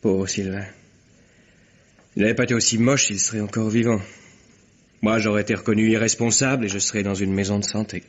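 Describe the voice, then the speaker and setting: Husky, guilt-ridden troubled man, played by an actor. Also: fairly low pitch, little pitch movement, a little fast, long pauses, natural voice. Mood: mournful, shaken. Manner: remorseful, subdued. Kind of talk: French radio mystery drama acting. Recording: muffled lo-fi vintage radio recording.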